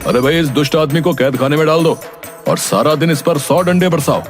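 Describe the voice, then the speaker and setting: A man's voice: fairly high pitch, fairly quick, soft background music, close to an everyday voice. A man voicing a stern, threatening village police chief in a cartoon moral story, scolding and giving orders. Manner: commanding, scolding. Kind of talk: animated Hindi moral story acting.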